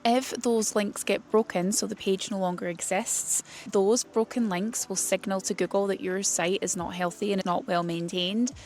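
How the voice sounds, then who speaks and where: A woman's voice: medium pitch, steady tone, moderate pace, hardly any pauses, a little mellow, soft background music. A woman presenting an informal instructional explainer in a plain-spoken, explanatory way. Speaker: presenter of a website tutorial series for writers.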